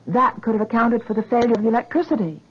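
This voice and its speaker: A concerned woman, speaks in a fairly high but husky voice with careful, persuasive earnestness. It is vintage radio drama acting on a dull, muffled recording. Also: fast. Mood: gentle, careful. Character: concerned, reasoning woman, gently persuasive friend.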